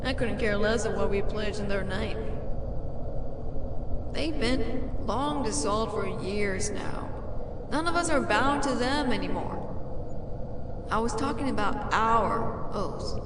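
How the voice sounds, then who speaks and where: Slow, echoing, wounded royal woman, acted out by a woman. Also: mid-range voice, very noisy, natural voice. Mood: hurt, pleading. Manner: halting, emotional, formal fantasy diction. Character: proud, wounded captive royal woman, confessing hidden love. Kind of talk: dramatic audio roleplay acting. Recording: echoey room, loud background ambience.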